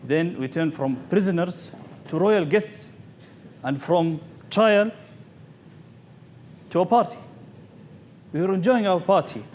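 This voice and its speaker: Higher-voiced man telling a dramatic tale. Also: a little mellow. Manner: dramatic, short deliberate phrases with pauses. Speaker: contest speaker. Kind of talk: theatrical storytelling speech to an audience. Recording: noisy live event recording.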